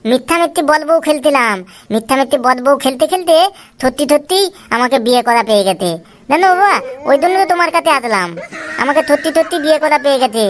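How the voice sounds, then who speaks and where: A woman's voice: sits high, close to an everyday voice. A woman voicing a whiny, eager little boy in a comic cartoon. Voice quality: babyish lisp. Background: music.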